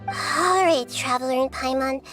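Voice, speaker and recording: Game role: childlike wise deity, high and gentle, earnest, measured lines over soft music. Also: female voice. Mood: calm. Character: Nahida, gentle wise young girl deity. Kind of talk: video game voice acting.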